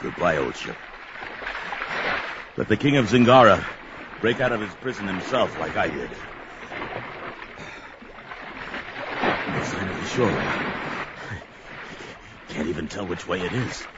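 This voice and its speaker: A man's voice: low-pitched, expressive, normal speed, long pauses, heavy background noise. An actor playing a bold, grim barbarian warrior who narrates his own actions melodramatically in an audio adventure drama. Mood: grim, resolute. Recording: dull lo-fi audio drama under music.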